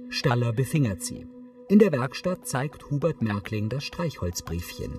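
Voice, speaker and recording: A man's voice: medium pitch, big pitch swings, normal speed, a little mellow, faint music behind. A man reading scripted audio description in a matter-of-fact, articulate way. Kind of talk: scripted narration.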